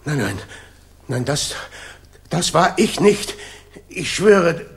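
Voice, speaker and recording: Casting: disgraced colonel, high-pitched, slow and stammering, frightened villain in a vintage radio play. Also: male voice, lively intonation, creaky, full character voice. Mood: desperate pleading. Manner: halting, stammering, agitated. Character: cornered, fearful English colonel turned traitor. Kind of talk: 1960s German radio crime drama acting.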